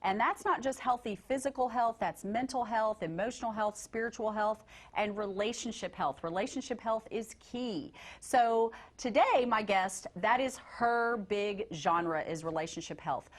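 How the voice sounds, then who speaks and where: A woman's voice: a little high, unhurried. Upbeat middle-aged woman hosting a TV show. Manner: upbeat, encouraging interviewer. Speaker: TV host and nurse practitioner. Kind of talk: TV interview hosting.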